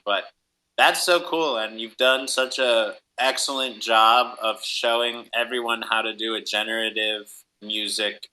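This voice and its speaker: Male host, strikingly bright, sharp timbre at a lowish pitch, informal interview chat, affirming and supportive. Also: low-quality recording. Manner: encouraging, affirming.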